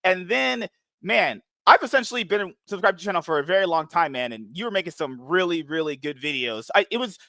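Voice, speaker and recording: Male podcast host, eager and profane, rapid talker, tense bright tone. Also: high voice, no echo. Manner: fast, excitable, profane, rambling. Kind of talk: casual interview chat.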